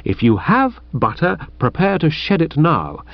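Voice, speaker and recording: A chummy, wheedling young gentleman, as a male narrator does him, his tone bouncing lively as he flatters and pleads. Dry and close, but with a dull, muffled sound. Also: on the high side, measured pace, dry recording, full character voice. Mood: coaxing.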